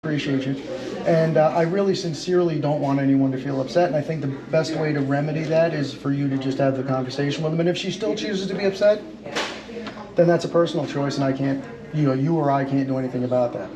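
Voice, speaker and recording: A man's voice: on the high side, steady tone, quick pace, crowd noise, rough recording. A middle-aged man from Long Island, New York, chatting casually as a talkative, polite and emphatic vlog host. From Long Island, New York, USA. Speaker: host of civil-rights audit vlog. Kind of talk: casual chat with people he meets. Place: post office and its outdoor car park. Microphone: camera rig held at chest or face. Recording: clear outdoor and background noise.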